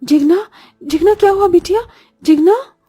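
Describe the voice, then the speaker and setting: A woman's voice: very high voice, normal speed, warm tone, dry recording, faint music behind, voice pushed up. A woman playing a distraught village mother with an anxious, imploring manner in a cartoon story.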